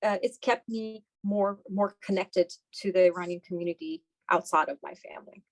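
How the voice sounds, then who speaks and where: A woman's voice: on the low side, normal speed, no room sound, rough recording. A middle-aged woman giving conversational, explanatory interview answers as a doctor, often repeating phrases for emphasis.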